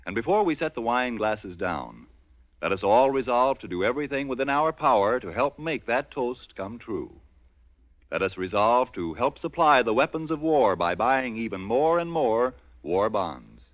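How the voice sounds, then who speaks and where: A man reading a sponsor message as a 1940s radio announcer, with solemn, measured oratory. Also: mid-range voice, big pitch swings, no room sound.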